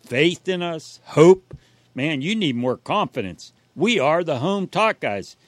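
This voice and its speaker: A man's voice: a little high, lively intonation, slow, no room sound. A man co-hosting a home repair radio show, handing out advice and comic banter with teasing wisecracks.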